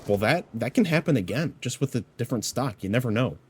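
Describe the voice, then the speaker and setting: A man's voice: mid-range voice, lively intonation, fast, a little hiss or hum. A man of twenty-four who is a little sick and congested, talking earnestly and reflectively in a personal vlog, rambling with lots of "you know" fillers. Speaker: YouTuber.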